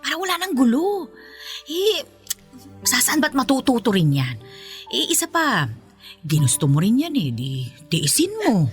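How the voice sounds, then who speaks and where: A woman's voice: high-pitched, wildly swooping pitch, faint music behind, full character voice. A woman playing a middle-aged, indulgent but scolding Filipina mother-in-law in a radio drama, nagging and dismissive, with a Filipino accent. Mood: dismissive, placating. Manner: nagging, dismissive, drawn-out phrases.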